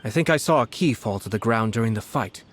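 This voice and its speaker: An actor playing a composed noble official in a video game, speaking in a calm, measured, formal way. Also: male voice, on the low side, a little hiss or hum, close to an everyday voice.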